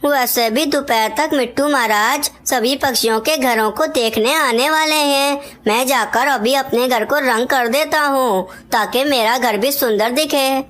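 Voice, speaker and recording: A scheming male cartoon crow, acted out by a woman, pitched fairly high. He is boastful and self-satisfied, always plotting, in the manner of a children's animated story. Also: unhurried, hardly any pauses. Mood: frustrated.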